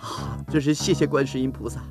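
An actor playing a humble, naive young traveller in a folk-tale cartoon, earnest, grateful and exclamatory. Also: male voice, medium pitch, fairly even intonation, a little fast, gravelly, music in the background, close to an everyday voice. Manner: earnest, wide-eyed, exclamatory.

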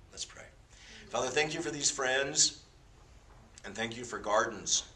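A man's voice: mid-range voice, pushed and bright, far from the mic. A man in his fifties leading a class with an informal sermon, mixing stories with jokey, self-deprecating asides. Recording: roomy sound from away from the mic.

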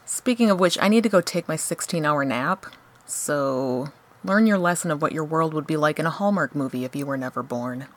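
A woman's voice: deep voice, fairly quick, close to an everyday voice. A woman playing a sardonic, exasperated scheming show host in a comedic radio play. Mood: wry. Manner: sarcastic, grumbling.

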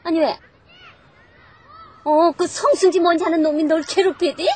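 A woman's voice: very high voice, fairly even intonation, normal speed, a little mellow. An actress playing a materialistic, snobbish middle-aged mother in a TV drama, theatrical and exclamatory, with some background noise. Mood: indignant. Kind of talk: television children's drama acting.

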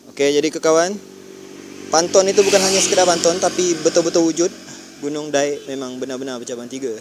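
A young man hosting a travel vlog outdoors, chatty and brisk, asking quick questions into a handheld mic. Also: a little high, fairly even intonation. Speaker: travel vlogger. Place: outdoors by a village road. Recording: light outdoor background noise.

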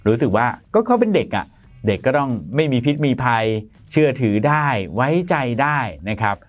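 A man's voice: medium pitch, very animated, a little fast, soft background music. A man in his thirties presenting an explainer to camera in a studio, talking in a polite, chatty way with a lapel mic. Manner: polite, chatty, frequent soft particles. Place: bright studio in front of a plain grey backdrop.

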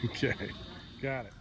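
A man filming a casual travel vlog outdoors, chatting and explaining sights to his companion. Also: mid-range voice. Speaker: vlogger filming behind the camera. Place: outdoors in a busy market and park. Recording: loud outdoor background noise.

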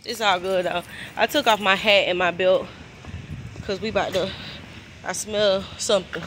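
A woman's voice: medium pitch, steady tone, moderate pace, fairly bright tone, a little hiss or hum. A woman in her twenties chatting casually to her phone camera in a laid-back, slangy vlog. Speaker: vlogger. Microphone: handheld phone camera.

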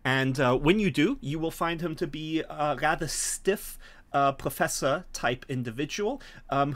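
A middle-aged man explaining and narrating a tabletop game as game master, phrasing things in a hesitant, measured way. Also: a little high, fairly bright tone. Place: small home room, video call. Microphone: video call from a desk.

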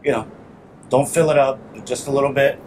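A man's voice: mid-range voice, fairly even intonation, a little fast. A man, probably in his thirties, hosting a casual how-to vlog while he works in a small garage, speaking informally. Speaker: motorcycle vlogger, host. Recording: clearly noisy workshop ambience.

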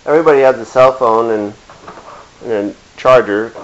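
A middle-aged man giving a casual hands-on demonstration in a halting, plain-spoken way. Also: mid-range voice. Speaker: DIY video maker. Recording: dull lo-fi camera sound with hiss.

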